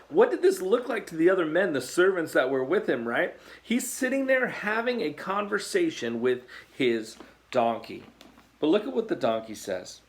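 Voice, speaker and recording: American guy, youth pastor doing a bible story, chatty, reads verses then breaks off to comment. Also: a little high. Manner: conversational, reading scripture and breaking off to comment. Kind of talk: Bible storytelling sermon for junior high students.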